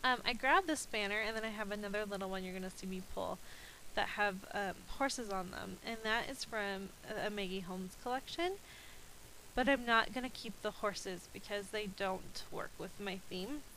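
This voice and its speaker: A woman's voice: medium pitch, normal speed, bright, forceful tone, a little hiss or hum, poor audio. A woman with a slightly stuffy, nasal voice from a cold, chatting casually with frequent ums as she narrates a voice-over about her crafting. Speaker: scrapbooking video creator.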